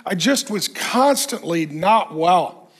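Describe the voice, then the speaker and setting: High-voiced pastor preaching, a bit hoarse. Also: male voice, slow pace. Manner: animated, conversational preaching with self-deprecating humor. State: losing his voice, clearing throat. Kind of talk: sermon. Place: church stage, indoors.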